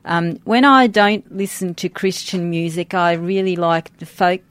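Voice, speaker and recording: A middle-aged woman speaks in a somewhat low register, recorded dry with hardly any room sound. English is her second language. She talks informally in an interview, pausing and rephrasing as she thinks aloud. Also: dry recording. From grew up outside Australia. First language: a language other than English. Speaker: interview guest, church worship team member. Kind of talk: conversational interview.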